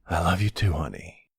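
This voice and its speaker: A man playing a gentle, worried boyfriend in an intimate roleplay audio drama, soothing and reassuring with a hesitant delivery. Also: no room sound, studio quality, full character voice. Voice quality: low, husky voice.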